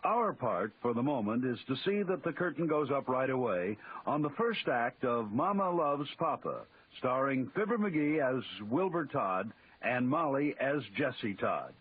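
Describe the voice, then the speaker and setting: A thin vintage radio recording with light hiss carries an American man as host. He gives a scripted theatrical introduction in a medium-pitched voice. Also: very animated, moderate pace, few pauses. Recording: tinny, noisy old radio broadcast.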